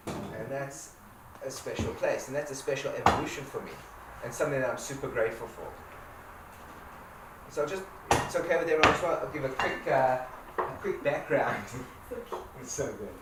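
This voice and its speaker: A man's voice: medium pitch, normal speed, long pauses. A man from Africa, speaking informally to a live audience, often repeating and restarting his words. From South Africa. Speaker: community owner giving a talk. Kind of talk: personal talk to an audience. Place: event space with a live audience. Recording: roomy echo, distant mic, background noise.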